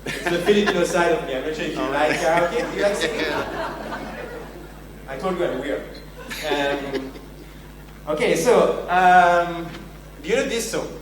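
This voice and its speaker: A man's voice: a little high, normal speed, pauses often, crowd noise. A man with a French accent giving a conference keynote, joking and self-deprecating as he talks to the audience. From France. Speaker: keynote speaker. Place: large conference venue. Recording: very echoey hall, distant mic, faint hum.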